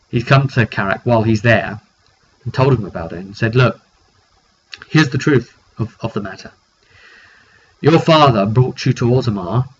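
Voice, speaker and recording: A British man giving a casual, rambling recap of a roleplay game session, often halting mid-sentence as he tells the story. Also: mid-range voice. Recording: dull lo-fi recording with background noise.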